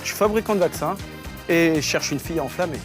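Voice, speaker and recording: A man's voice: fairly high pitch, fast talker. A man in his thirties, a game show contestant, cheerful, slangy and stumbling over words. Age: 30s. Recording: TV studio with music and crowd.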